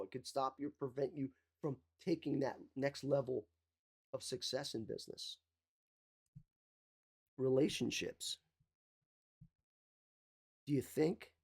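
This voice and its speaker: A mindset coach giving an emphatic motivational talk, repeating key phrases, with a slight cough. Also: male voice, medium pitch, a little mellow, husky.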